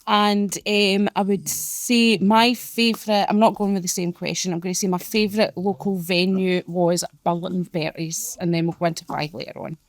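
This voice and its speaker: A woman's voice: on the low side, steady tone, normal speed, few pauses, no room sound. A woman in her thirties introducing herself in a hesitant, self-deprecating way. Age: 30s. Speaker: music fan guest. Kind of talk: casual introduction.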